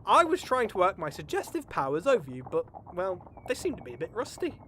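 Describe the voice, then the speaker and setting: A man acts a pompous, scheming gang boss in a fantasy comedy audio play. His delivery is theatrical and very animated, bossy and wordy, over faint background noise. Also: high-pitched, brisk, exaggerated voice. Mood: wry, confessional. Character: pompous, exasperated, scheming gang leader named Richard.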